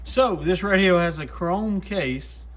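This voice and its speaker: A man's voice: high voice, slow pace, fairly bright tone. A man giving casual hands-on testing commentary as a host, plainspoken and full of folksy slang. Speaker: host, CB radio shop technician testing used radios.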